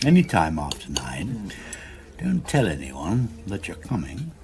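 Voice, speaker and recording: A man's voice: low-pitched, very animated, unhurried, darkish tone. An actor playing a secretive Englishman in a radio drama, speaking in a clipped, confidential way. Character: secretive man issuing a discreet evening invitation. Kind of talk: British radio drama dialogue.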